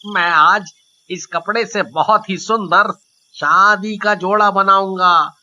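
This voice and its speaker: Someone putting on a gleeful cartoon ghost, very slow and sharp. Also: mid-range voice, fairly even intonation, dull audio, a little hiss or hum. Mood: gleeful, boastful. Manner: gleeful, boastful, drawn-out delivery. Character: cheerful ghost tailor who proudly stitches beautiful clothes. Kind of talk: Hindi cartoon story dialogue.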